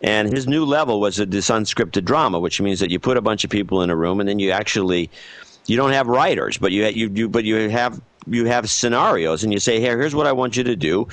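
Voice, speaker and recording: Guy with a lowish voice ranting casually on a podcast, dry close mic. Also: expressive, fairly quick, fairly bright tone, no echo. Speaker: podcast co-host. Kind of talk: casual chat.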